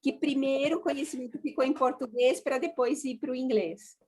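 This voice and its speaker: Eager woman with a high voice, participant on a call. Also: moderate pace, darkish tone, slightly rough. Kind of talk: casual video call chat. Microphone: video call.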